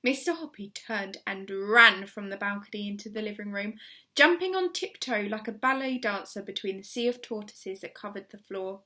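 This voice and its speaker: Expressive woman reading a children's story. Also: medium pitch, moderate pace. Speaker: primary school teacher reading aloud.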